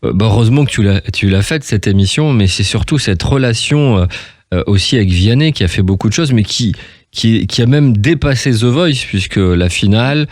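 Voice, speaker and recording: A man's voice: lowish voice, lively intonation, moderate pace, no echo, clean studio sound. A man hosting a radio interview in a casual, chatty way, rambling enthusiastically from one aside to the next. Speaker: radio host interviewing a guest. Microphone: close studio radio microphone.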